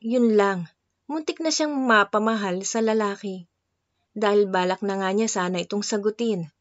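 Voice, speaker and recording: A woman's voice: medium pitch, normal speed, no room sound. Woman narrating, dry and slightly dull sound. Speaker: storyteller, narrator. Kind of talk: scripted narration of a romance story.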